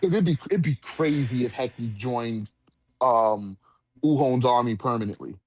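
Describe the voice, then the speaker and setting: A man co-hosting a casual discussion, talking in profane slang and often repeating phrases haltingly as he goes. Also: medium pitch. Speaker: co-host of a manga discussion. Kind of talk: casual chat.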